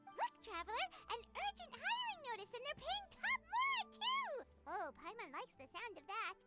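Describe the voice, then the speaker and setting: Childlike game fairy, chirpy, excitable, greedy, very animated delivery, prominent music. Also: female voice, normal speed, exaggerated voice. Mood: excited, greedy. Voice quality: childlike, squeaky. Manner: chirpy, exaggerated, speaks of self in third person. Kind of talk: video game voice acting.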